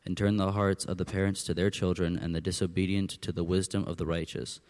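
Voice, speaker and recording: A man's voice: deep voice, little pitch movement, brisk, a little mellow. A man reading scripture aloud as a reader at church.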